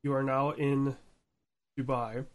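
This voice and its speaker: Male podcast host, mid-range voice, informal and chatty, halting as he frames questions. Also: mid-range voice, flat delivery. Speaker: podcast interviewer. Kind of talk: casual chat.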